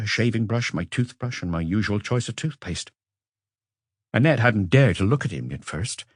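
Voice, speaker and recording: A man reading a literary story as an audiobook narrator, with expressive scripted delivery. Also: fairly low pitch, no echo, studio quality.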